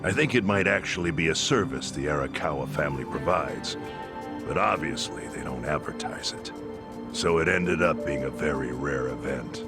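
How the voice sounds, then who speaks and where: A man's voice: rumbling low, moderate pace, over loud music. A man playing a plain-spoken underworld insider in a video game cutscene, matter-of-fact and colloquial. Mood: explaining. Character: plain-spoken male underworld insider explaining secret rules.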